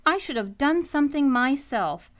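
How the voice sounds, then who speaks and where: Exasperated wife and mother, female actor for vintage radio comedy: scolding, animated, fairly high. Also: moderate pace. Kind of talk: old-time radio comedy acting.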